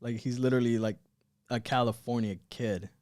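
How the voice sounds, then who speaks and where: A man in his twenties, a podcast guest born in Cuba and raised in Miami, telling stories in a relaxed, rambling way with many fillers. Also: mid-range voice, fairly quick, dry recording, studio quality. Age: 20s. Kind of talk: casual chat, telling stories.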